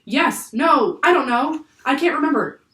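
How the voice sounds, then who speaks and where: Woman doing an anxious, urgent young boy, raised pitch. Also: expressive, normal speed, fairly bright tone. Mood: frantic. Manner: urgent, exclaiming. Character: anxious, earnest young boy, quick to protest. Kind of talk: children's audiobook character voice. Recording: roomy echo, distant from the mic.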